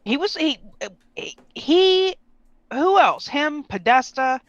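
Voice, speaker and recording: Brief: woman co-host, playful and wry, animated pitch, muffled remote sound. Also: takes it slowly. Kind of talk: casual chat.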